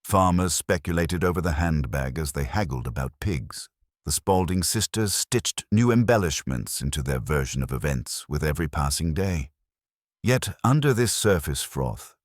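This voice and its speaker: Mystery narrator, male: exceptionally low pitch with animated intonation, measured and theatrical scripted storytelling. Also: no echo, studio quality.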